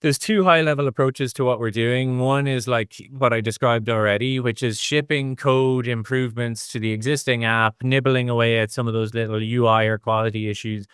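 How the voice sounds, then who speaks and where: Middle-aged man, smooth dry-sounding voice. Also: mid-range voice, steady tone, normal speed, hardly any pauses, fairly bright tone, dry recording. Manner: discursive, thinks aloud in long hedged explanations. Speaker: interview guest, design executive. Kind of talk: casual interview explanation.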